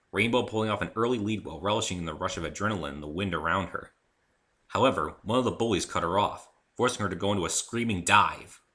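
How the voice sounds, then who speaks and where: Expressive, very bright-voiced man narrating. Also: sits low. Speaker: narrator, author reading own story. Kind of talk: dramatic reading, scripted first-person narration.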